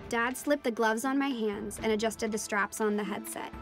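A woman playing a marine biologist's daughter, telling her undersea adventure in measured, dramatic first-person narration. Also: on the high side, fairly even intonation, faint music behind, natural voice. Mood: reflective.

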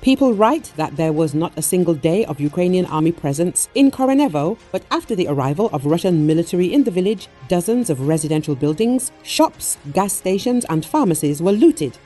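A woman reading a scripted news voice-over in a steady, formal way. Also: lowish voice, fairly quick, hardly any pauses, soft background music. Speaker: news narrator.

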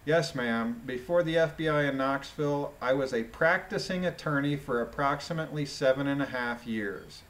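Man reading a transcript aloud, deliberately. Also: medium pitch, a bit bright. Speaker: online commentator reading court transcripts aloud. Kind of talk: reading a transcript aloud with brief informal commentary.

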